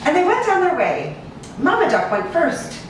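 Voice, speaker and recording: An American woman reading a children's storybook aloud in an expressive, sing-song manner. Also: extremely high pitch. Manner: expressive, sing-song listing with drawn-out repeats. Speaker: animal cruelty investigator and rescue agent reading to children. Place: echoey indoor room, away from the mic. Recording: distant, very echoey room with hiss.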